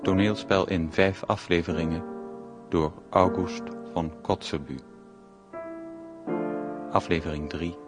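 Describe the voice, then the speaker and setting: A man narrates a radio drama in a solemn, scripted manner. His voice is low and very measured, carried over loud piano music. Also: fairly even intonation. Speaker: announcer and narrator.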